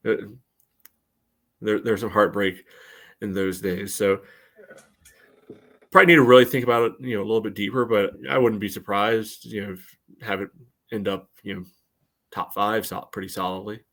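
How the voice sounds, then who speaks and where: Male podcast co-host and club historian, lower register, casual but considered, feels his way through each point. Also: a bit bright. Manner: halting, hedging, thoughtful. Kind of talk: casual sports podcast chat.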